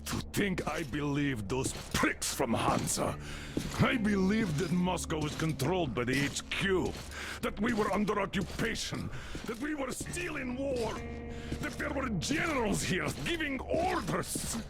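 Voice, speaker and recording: Gruff, bitter old military commander. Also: male voice, high-pitched, sing-song, measured pace, heavily acted. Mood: enraged. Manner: brusque, swearing, barking orders. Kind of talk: video game cutscene acting. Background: loud game score music.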